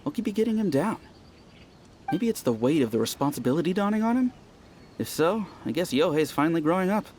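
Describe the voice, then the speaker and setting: A man performs a chatty villager for a video game. His slightly high voice swings animatedly as he muses, puzzled, over local gossip. Faint noise sits behind him. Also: fairly quick, lightly acted. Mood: puzzled, musing. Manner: animated storytelling, musing.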